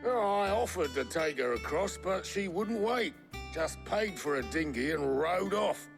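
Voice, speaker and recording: An actor playing a chatty, cheeky boatman with a gravelly voice and a working-class English accent, droll and colloquial in a video game. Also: a little high, expressive, a little slow, over music. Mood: amused, easygoing. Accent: British, working-class English. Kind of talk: character voice acting in a video game.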